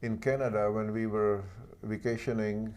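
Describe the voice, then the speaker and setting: A man from Czechoslovakia with a Czech accent, a guest telling his story in halting, earnest second-language English. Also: on the low side, little pitch movement, a little mellow. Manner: earnest, halting non-native phrasing. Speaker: guest author. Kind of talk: interview storytelling.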